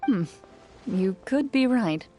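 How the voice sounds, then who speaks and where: A woman voicing a composed, enigmatic young swordswoman in a video game, with a measured, dry, understated delivery. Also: a little high, lively intonation, big-room sound, music in the background. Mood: amused. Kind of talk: game voice acting.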